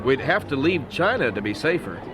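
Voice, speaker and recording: A man playing a devout, resolute young missionary husband in a radio drama, earnest and gentle. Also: medium pitch, sing-song, a little fast, over music, natural voice. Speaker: voice actor in an audio drama. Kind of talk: radio drama dialogue acting.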